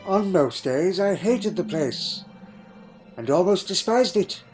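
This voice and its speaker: A man plays a wistful, lonely time traveller from another world in an audio drama. His voice sits a little high for a man and moves in short, halting, reflective phrases. Music plays clearly beneath it. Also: lively intonation, poor audio. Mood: nostalgic. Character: wistful male alien time traveller recalling a lonely childhood. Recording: music under the voice.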